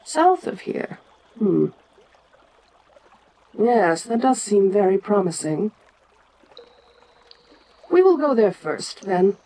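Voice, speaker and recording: An ancient giantess monster queen played by a woman in an audio roleplay. She speaks slowly and deliberately at a middle pitch, stately and domineering. Her pride comes with curiosity about the small human she addresses. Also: very animated, warm tone, heavily acted, low-quality recording. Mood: curious, commanding. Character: ancient giant female kaiju queen, proud, imperious, curious.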